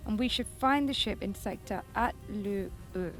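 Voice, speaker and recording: An actress playing a brisk, impatient, self-assured woman leading a space heist in a sci-fi audio comedy, dry and bossy. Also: mid-range voice, soft background music, natural voice. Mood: brisk, focused.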